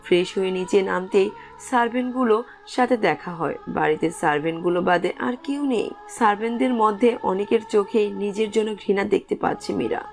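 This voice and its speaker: A woman's voice: medium pitch, normal speed, creaky. A woman reading scripted narration for a romantic story as its narrator. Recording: music under the voice.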